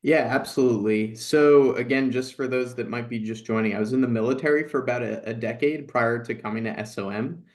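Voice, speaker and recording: A man's voice: mid-range voice, a little fast, hardly any pauses. A man in his thirties from New York, speaking as a student panelist and introducing himself. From New York, United States. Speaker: student panelist, army veteran.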